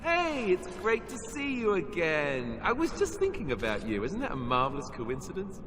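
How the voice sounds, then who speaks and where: An actor playing a naive, cheerful young warrior with an affable manner in a video game. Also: male voice, fairly high pitch, wildly swooping pitch, normal speed, in a large hall, noisy background. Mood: blissfully happy. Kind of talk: naturalistic video game acting.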